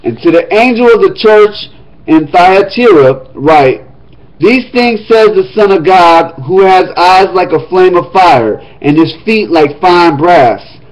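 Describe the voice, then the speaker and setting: A man's voice: high voice, animated, slow pace, low-quality recording. A man reading the Bible aloud in an emphatic, declamatory manner, with casual touches. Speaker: scripture reader.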